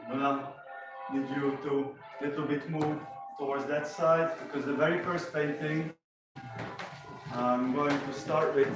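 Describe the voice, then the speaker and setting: A man's voice: a little high, fairly even intonation, a little slow. Rambling man talking to an audience. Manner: rambling, friendly, loose phrasing with many fillers. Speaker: painter presenting his work. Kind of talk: casual talk to a live audience. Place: gallery event with a live audience. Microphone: handheld mic, heard from a distance. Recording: very echoey room, far from the mic, music behind.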